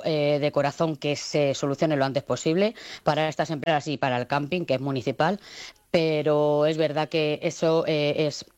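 A woman's voice: low voice, a little mellow, no echo. A woman mayor speaking on a phone interview, hesitant, with many fillers and restarts. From Spain. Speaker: town mayor. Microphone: phone call.

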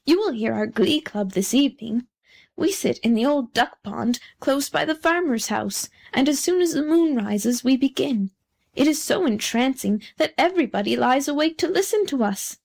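A chatty little storybook frog, put on by a woman reader. He gushes on in a high voice, self-satisfied and talkative. He is a creature who loves his muddy ditch. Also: lively intonation, normal speed, gravelly, darkish tone, full character voice. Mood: gushing, proud.

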